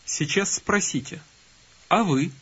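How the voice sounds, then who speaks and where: A man narrating a scripted language course, calmly prompting the listener to repeat phrases. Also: sits high, breathy edge. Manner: calm prompting, gives cues then pauses. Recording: dull lo-fi tape recording.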